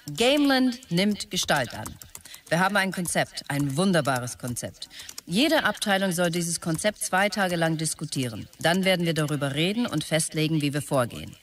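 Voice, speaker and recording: Terse visionary executive, noisy background. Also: male voice, fairly high pitch, expressive, moderate pace, a bit bright. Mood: visionary, resolute. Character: visionary, demanding male startup chief operating officer. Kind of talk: radio drama, characters dictating messages.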